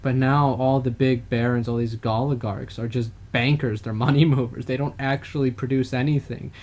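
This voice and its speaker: A man's voice: medium pitch, little pitch movement, moderate pace, darkish tone. A man co-hosting a casual political podcast, talking in a rambling way with frequent hesitations and restarts. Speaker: podcast co-host.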